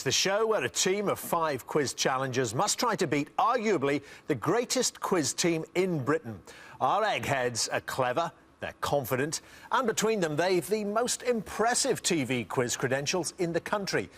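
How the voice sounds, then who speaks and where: A man hosting a studio quiz show, welcoming players, explaining rules and reading out questions in a brisk, upbeat way. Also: on the high side, big pitch swings, a bit bright.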